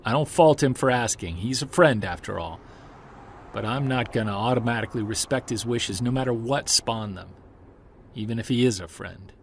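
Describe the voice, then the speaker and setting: A man's voice: mid-range voice, moderate pace, vocal fry, slight background noise, natural voice. A man playing a stubborn, determined amateur investigator in an audio drama, speaking in a casual, earnest, conversational way. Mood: troubled, resolute. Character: determined, stubborn male amateur investigator chasing a creature mystery. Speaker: investigator and narrator. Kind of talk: audio drama dialogue and reflective spoken journal.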